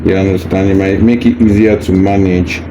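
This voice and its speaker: A man's voice: deep voice, fairly even intonation, moderate pace. A man patiently walking through a hands-on unboxing tutorial, casual and reassuring, repeating his steps as he goes. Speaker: product demonstrator. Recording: faint background noise.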